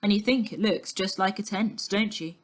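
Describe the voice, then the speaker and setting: A woman's voice: mid-range voice, moderate pace, close to an everyday voice. A woman voicing a matter-of-fact fairground tent man in a storybook, quizzing his listener.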